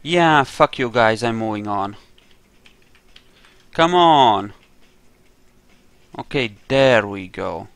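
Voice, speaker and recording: Guy playing a video game and talking thru it, takes his time, clean smooth voice, swears casually. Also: on the low side, lively intonation, slow, pauses often, a bit bright, no echo. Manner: offhand, sweary, thinking aloud. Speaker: gamer narrating his own playthrough.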